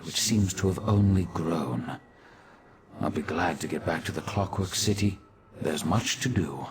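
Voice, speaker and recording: A man playing an ancient, wise and weary demigod in a fantasy game, speaking in a grave, formal, measured way. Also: low-pitched. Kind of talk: scripted fantasy game dialogue.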